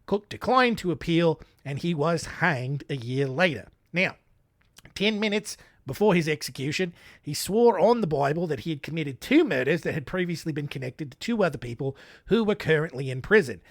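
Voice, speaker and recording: A man's voice: a little high, expressive, moderate pace, no echo, studio quality. A man telling crime stories for laughs in a shaky put-on Australian accent, rambling into self-mocking asides. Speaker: storyteller. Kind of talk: comedic true-crime retelling.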